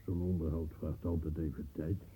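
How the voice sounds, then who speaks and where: A man's voice: very deep voice, flat delivery, moderate pace, mellow, a little hiss or hum. A man playing a reluctant, henpecked father in a radio play, answering tersely with resigned muttering. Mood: resigned, dry. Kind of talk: naturalistic radio play dialogue. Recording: muffled, band-limited old radio play recording.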